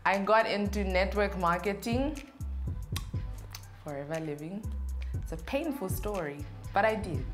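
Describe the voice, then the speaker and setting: A woman's voice: medium pitch, lively intonation, a little slow, long pauses, faint music behind. A young woman, answering interview questions in a chatty, playful way in an indoor studio. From Zambia. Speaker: interview guest. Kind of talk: casual Q&A interview.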